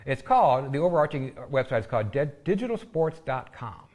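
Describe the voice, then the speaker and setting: A middle-aged American man appearing as a guest on a local TV show, explaining in an unhurried, halting way with many pauses. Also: medium pitch, big pitch swings, a little mellow. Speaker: school system guest. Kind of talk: casual studio chat.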